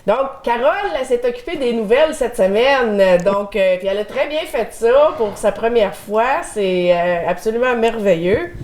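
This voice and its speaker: A woman's voice: on the high side, lively intonation, normal speed, few pauses, darkish tone. A middle-aged woman with a Québécois French accent hosting a local community TV show, chatting and reading the news with fillers. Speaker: community TV host.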